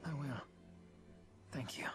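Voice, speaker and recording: An actor playing a courtly, genial old gentleman in a video game, polite and playful. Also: slow, music in the background, lightly acted. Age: older.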